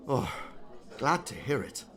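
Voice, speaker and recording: A man's voice: medium pitch, very animated, measured pace, fairly bright tone. A man playing a friendly, solicitous businessman in audiobook dialogue, effusive and concerned.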